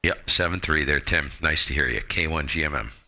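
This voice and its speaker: A man's voice: extremely low pitch, fairly quick, bright, forceful tone, a little hiss or hum. A man chatting casually as a ham radio operator and host, in an informal, rambling way. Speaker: amateur radio operator and live stream host.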